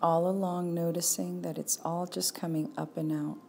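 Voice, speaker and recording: A woman leading a guided meditation as a teacher, speaking calmly and without hurry, leaving long pauses. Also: on the low side, gravelly, slight background noise. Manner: calm, unhurried, with long pauses.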